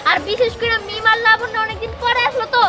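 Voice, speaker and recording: A woman's voice: very high voice, little pitch movement, quick pace, music in the background, crowd noise. A woman playing a headstrong, playful young granddaughter in a village drama, eager and bossily dismissive. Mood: excited.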